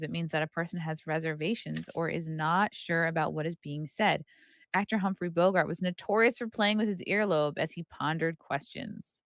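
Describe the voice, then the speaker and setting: A woman's voice: lowish voice, dry recording. A woman hosting a podcast, chatting casually and reading aloud from a book with playful, irreverent, flippant asides. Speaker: podcast host.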